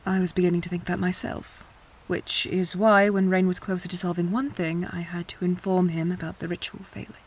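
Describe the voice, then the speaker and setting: A woman acting in an audio drama as a tender, anguished countess and adoptive mother, speaking in a halting, hushed way. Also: lowish voice, flat delivery, noisy background, natural voice. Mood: concerned, gentle.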